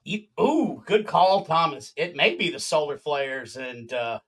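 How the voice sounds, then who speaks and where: Man, podcast host, bright forceful voice, slightly high, teasing, rambling, video call. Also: lively intonation, measured pace. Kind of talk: casual chat on a livestream.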